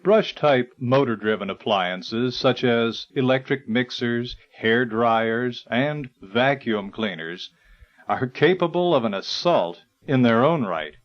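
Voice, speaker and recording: Lo-fi, slow-paced male narrator. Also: mid-range voice, lively intonation. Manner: formal, measured, articulate delivery. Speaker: narrator of an instructional audio guide. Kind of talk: scripted educational narration. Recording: dull, lo-fi 1980s tape recording.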